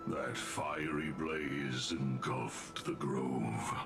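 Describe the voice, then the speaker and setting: Ancient solemn earth god from a game, super deep and gravelly, talks grand, music behind. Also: male voice, drawn-out words, full character voice. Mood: solemn, reproachful. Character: ancient solemn earth demigod, divine protector of beasts. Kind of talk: dramatic video game voice acting.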